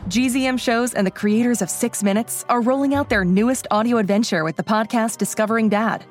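A woman's voice: a little high, brisk, soft background music. A woman announcer delivering a polished, scripted podcast advert. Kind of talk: scripted promotional read.

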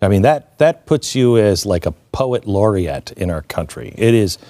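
A man hosting a conversational talk show, thinking aloud and posing questions in a halting way. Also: fairly low pitch, lively intonation, warm tone, no echo. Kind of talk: conversational discussion.

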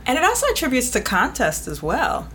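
A woman's voice: fairly high pitch, huge pitch swings, a little fast, a bit bright, slight background noise. A woman guest editor talking frankly and at length in a casual podcast conversation, trailing off and restarting mid-thought.

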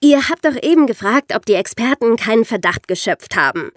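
A woman's voice: on the high side, lively intonation, fairly quick, no echo, studio quality. A woman hosting a podcast, explaining things in a storytelling way with rhetorical questions. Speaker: podcast host.

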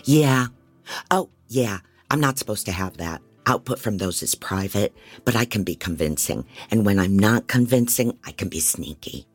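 A woman's voice: extremely low pitch, lively intonation, normal speed, husky, faint music behind, exaggerated voice. A woman playing a scattered, sneaky unlicensed private investigator in an audio drama, speaking in clipped fragments. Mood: sheepish, sly.

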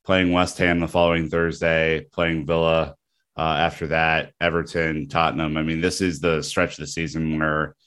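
A man hosting a football podcast, talking in a relaxed, joking way with plenty of fillers. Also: rumbling low, normal speed, clear, resonant tone. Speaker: podcast host. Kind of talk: casual podcast chat.

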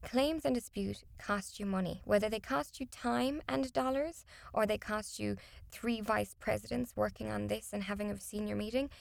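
A woman's voice: mid-range voice, steady tone, moderate pace, vocal fry, dry recording, clean studio sound. A woman whose first language is not English, a contracts and disputes consultant, giving explanatory, emphatic interview answers with some restarts.

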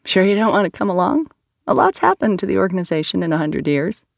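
Narrator doing an upbeat young team member, friendly, slightly gravelly, dry sound. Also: mid-range voice, sing-song, quick pace, dry recording, voice pushed up.

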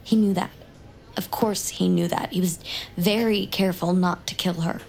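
A woman's voice: fairly low pitch, fairly even intonation, normal speed, vocal fry, a little mellow. An actress playing an intense young woman in an audio drama, glib and socially blunt, with naturalistic delivery. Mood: glib, insistent. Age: young adult. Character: glib, intense young woman, awkward true-crime survivor.

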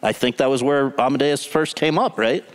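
A man's voice: mid-range voice, brisk. A man interviewing an actor on stage before an audience, asking long, rambling questions with restarts. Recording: long hall reverb.

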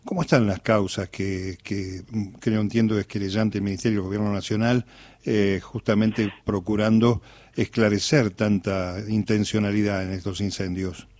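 An Argentine man asking interview questions as a radio journalist, with halting, conversational phrasing. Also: lowish voice, level tone, a little mellow, dry recording.

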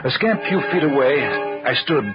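Man playing an earnest lab assistant, expressive. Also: a little high, moderate pace, close to an everyday voice. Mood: grave storytelling. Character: earnest male lab assistant narrating a suspense tale. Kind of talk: old-time radio drama acting. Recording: muffled vintage radio recording, music behind.

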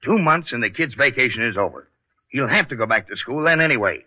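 An actor playing a stubborn, gruff middle-aged tunnel worker and father in a 1940s radio drama, with blunt working-class speech. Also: medium pitch, lively intonation, fairly quick, lightly acted. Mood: dismissive, stubborn. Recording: thin, narrow-band 1940s radio recording.

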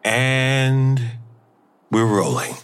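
An actor playing a patient, skeptical friend in an audio drama, speaking in a measured, deadpan way. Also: male voice, medium pitch, slight background noise. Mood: obliging. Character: patient, skeptical male friend, careful and obliging. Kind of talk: naturalistic audio drama dialogue.

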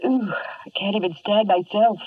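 A woman's voice: mid-range voice, normal speed, slightly rough, no echo, recorded from a distance, lightly acted. Muffled, plaintive radio heroine played by a woman. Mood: plaintive, queasy. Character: anxious, plaintive young female sidekick, game but squeamish. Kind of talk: 1950s radio drama acting. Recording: muffled, hissy vintage radio recording.

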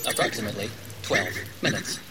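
A man's voice: on the low side, fairly quick, a loud crowd, lightly acted. A man playing a courteous, rule-bound robot attendant who speaks in formulaic customer-service phrases in an audio drama. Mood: obliging, chirpy.

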